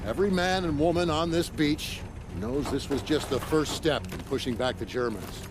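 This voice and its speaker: An actor playing a direct, authoritative army officer who briefs and encourages new recruits in a video game. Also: male voice, fairly high pitch, normal speed, noisy background, lightly acted. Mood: stern, encouraging. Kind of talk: military briefing.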